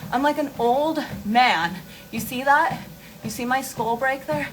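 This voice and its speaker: A woman's voice: sits high, measured pace. Candid woman chatting over noisy live audio. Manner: candid, informal, emphatic with repeated words. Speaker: host of a beauty and wellness live stream. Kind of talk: casual live chat to followers.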